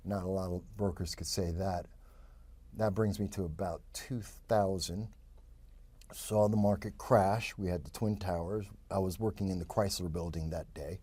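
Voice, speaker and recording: Fifties New Yorker, interview guest, husky and loose, full of restarts. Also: male voice, low-pitched, mellow, no room sound. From the Bronx, New York. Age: 50s. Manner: rambling, frequent fillers and restarts. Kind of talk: casual interview talk.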